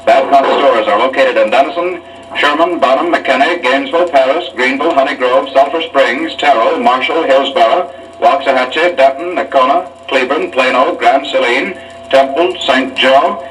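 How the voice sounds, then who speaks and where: A man's voice: mid-range voice, moderate pace, a bit bright, recorded from a distance. An American man working as a 1940s radio announcer, on an echoey old disc recording. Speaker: radio announcer and street interviewer.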